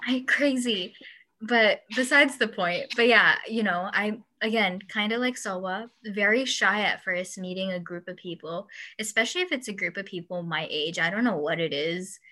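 Bright-voiced chatty young woman. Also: mid-range voice, normal speed. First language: Urdu. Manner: chatty, laughs often, quotes people in acted voices. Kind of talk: casual chat.

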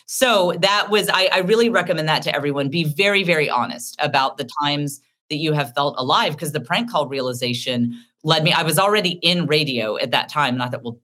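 Deep-voiced woman telling candid stories. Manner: candid, animated storytelling. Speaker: author and comedian guest. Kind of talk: casual interview talk.

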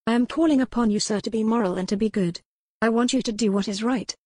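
A woman's voice: fairly high pitch, fast, a little mellow, no room sound, studio quality, voice pushed up, lightly acted. A woman voicing a defiant young noble lady who pleads earnestly in formal old-fashioned speech. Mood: impassioned.

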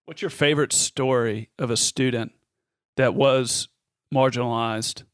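A man's voice: mid-range voice, fairly even intonation, unhurried, dry recording, studio quality. A man hosting an interview podcast, asking his guest questions politely. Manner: polite, addresses guest as ma'am. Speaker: podcast host.

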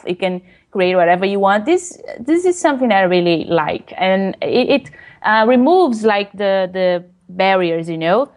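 A woman's voice: medium pitch, lively intonation, unhurried, darkish tone. Brazilian woman with frequent fillers. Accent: Brazilian Portuguese. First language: Portuguese. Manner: hesitant, many fillers, laughs often. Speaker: guest author. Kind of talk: casual interview.

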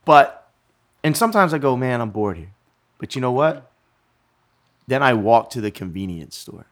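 Casual male talker, average pitch, punchy swearing travel anecdotes. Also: normal speed. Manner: animated, swearing, self-deprecating storyteller. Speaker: traveler in conversation. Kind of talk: casual chat.

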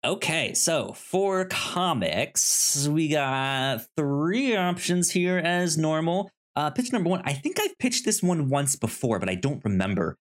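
A man's voice: on the high side, sing-song, a little slow, hardly any pauses. A man co-hosting a podcast in a casual, chatty style, often stammering on the first syllables of words. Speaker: podcast co-host. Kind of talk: casual chat, pitching comics and reading blurbs aloud.

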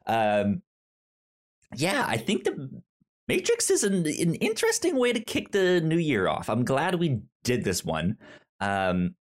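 A man in his thirties hosts a relaxed podcast chat, often tripping over his words and repeating the starts of them. He talks slowly, and his pitch swings about a great deal. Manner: halting, many repeated starts and stammers. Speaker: podcast host. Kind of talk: casual chat.

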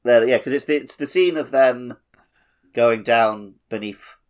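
A man's voice: mid-range voice, a little slow. A man co-hosting a casual gaming podcast, talking in a playful way. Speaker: podcast co-host.

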